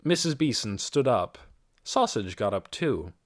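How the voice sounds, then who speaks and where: A man's voice: medium pitch, big pitch swings, unhurried. A man reading a story aloud as scripted audiobook narration. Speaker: audiobook reader.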